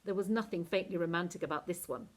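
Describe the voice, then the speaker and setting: A woman narrating a children's novel aloud in an expressive, animated teacher's reading style. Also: on the low side, fast, poor audio.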